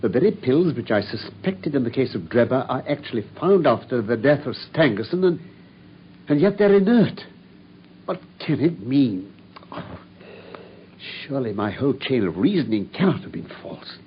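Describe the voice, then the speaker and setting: An actor playing a brilliant, self-assured English gentleman detective in a theatrical, precise mid-century radio drama style. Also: medium pitch, very animated, moderate pace, lightly acted. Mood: agitated, disbelieving. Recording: muffled, faintly noisy old radio recording.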